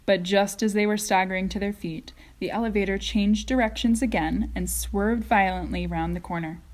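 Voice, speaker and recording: A woman's voice: mid-range voice, fairly even intonation, normal speed, a little mellow. A woman teacher narrating a children's story expressively, in read-aloud style.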